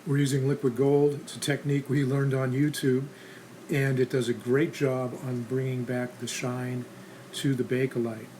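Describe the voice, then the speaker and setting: A man with a medium-pitched voice narrates a restoration how-to in a casual style. His delivery is plain, practical and instructional. Clear background noise and ambience sit behind his words. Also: little pitch movement, moderate pace, a little mellow. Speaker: host of a restoration how-to channel.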